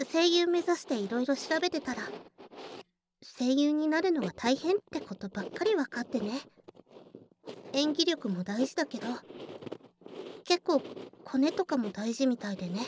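High, lively, bashful young girl acted by a woman. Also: slight background noise. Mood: earnest, resigned. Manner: confiding, girlish, tsundere bashfulness. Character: earnest, bashful young childhood friend working as cafe waitress. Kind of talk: Japanese ASMR situation voice drama acting, intimate one-sided conversation.

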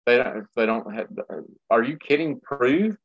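A man talks casually at a middle pitch, rambling and restarting his sentences. The recording sounds dull and lo-fi. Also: lively intonation. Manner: rambling, halting, many restarts. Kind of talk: casual chat.

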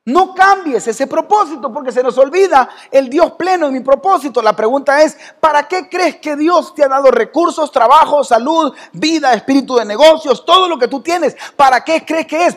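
A man's voice: extremely high pitch, expressive, moderate pace. A man with a Salvadoran Spanish accent preaching a sermon as pastor in a church, talking conversationally and calling on members of the congregation by name. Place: church hall.

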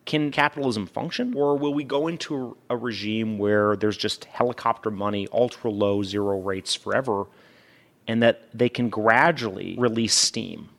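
A man's voice: lowish voice, moderate pace, fairly bright tone. A man giving analytical interview answers as a guest fund manager, emphatic, with rhetorical questions and repeated phrases.

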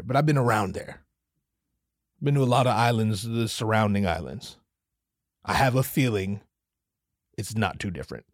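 Casting: male podcast host from the Pacific Northwest, mid-pitch, profane rambling monologue, dead-dry mic. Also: dry recording. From US West Coast, Pacific Northwest. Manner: profane, rambling, self-interrupting. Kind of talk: solo comedic podcast rant.

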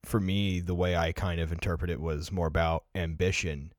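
Deep-voiced young man chatting on a podcast. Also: steady tone, normal speed, no echo, clean studio sound. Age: early 20s. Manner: reflective, explanatory, filler-heavy with "like" and "you know". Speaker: podcast co-host discussing favorite films. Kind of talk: casual podcast conversation.

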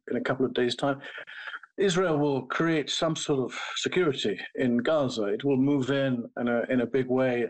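A man's voice: medium pitch, rough recording. A man giving unscripted political commentary as a panel guest, often repeating words as he starts a phrase.

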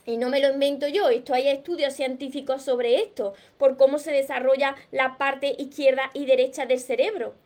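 A woman's voice: high-pitched, steady tone, normal speed, few pauses, a little mellow. A middle-aged woman from Spain, speaking with a Spanish accent as a personal growth coach on a live stream, explaining earnestly and answering viewers' comments. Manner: earnest, teacherly, addresses viewers directly with repeated phrases.

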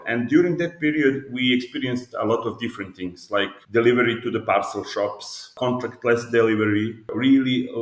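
Low-pitched Serbian man in an echoey room. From Belgrade, Serbia. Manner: explains step by step with examples and lists. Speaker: podcast guest, e-commerce business owner. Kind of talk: explanatory interview answers.

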